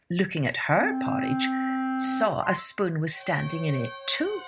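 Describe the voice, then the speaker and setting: A woman's voice: low voice, gravelly. A woman narrating a children's fairytale as a podcast storyteller, expressively and with dramatic emphasis.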